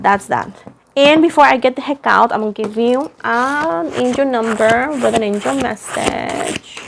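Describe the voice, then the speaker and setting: A woman giving a casual, chatty tarot reading, rambling in a self-deprecating way and repeating phrases for emphasis. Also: on the high side. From Puerto Rico. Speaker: tarot reader, host.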